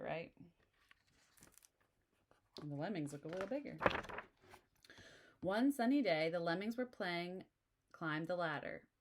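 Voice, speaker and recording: Friendly woman, slightly low voice, reading a picture book aloud with asides for kids. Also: a little hiss or hum. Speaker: children's book reader.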